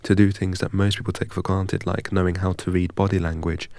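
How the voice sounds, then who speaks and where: A man from England, a guest in an interview, telling his story in a measured, deliberate way. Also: low-pitched, little pitch movement, darkish tone, dry recording. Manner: measured and deliberate, recites long strings of numbers evenly.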